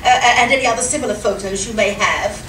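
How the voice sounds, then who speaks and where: A woman's voice: medium pitch, fast, fairly bright tone, lightly acted. A woman playing a haughty, easily flustered titled lady in a live comedy radio play, with an imperious, theatrical manner. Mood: grudging. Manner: imperious, theatrical, gasping and stammering. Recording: roomy, distant live recording, faint noise.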